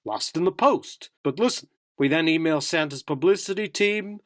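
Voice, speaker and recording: An actor playing a brisk, self-assured media colleague in radio drama dialogue. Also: male voice, a little high, fairly bright tone, no room sound, studio quality, natural voice. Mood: matter-of-fact.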